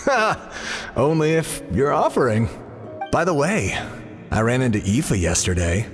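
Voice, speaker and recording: A man's voice: medium pitch, very animated, unhurried. A man playing a jovial, friendly middle-aged village uncle, breezy and teasing, in video game dialogue. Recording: long reverb, faint music behind.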